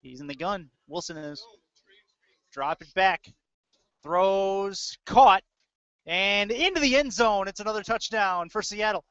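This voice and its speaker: A man with a slightly high voice works as a football play-by-play announcer. He describes the action in short, clipped phrases. The sound is dry and somewhat dull, like a lo-fi webcast. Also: a little slow, a bit bright, smooth, no echo. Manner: clipped running description of plays. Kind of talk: live American football commentary.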